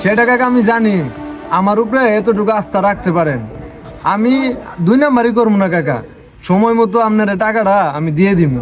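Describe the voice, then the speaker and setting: A man's voice: high-pitched, fairly quick, close to an everyday voice. A man acting a devoted, earnest village elder brother in a drama, with a rural Bangladeshi accent. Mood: humble, pleading. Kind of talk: naturalistic Bengali TV drama acting. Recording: music behind the dialogue.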